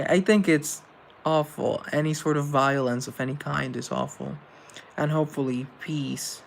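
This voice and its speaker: A man's voice: mid-range voice, gravelly, low-quality recording. A young man from Colombia hosting a casual live show, reading out chat questions and answering in a rambling, earnest way with self-deprecating asides.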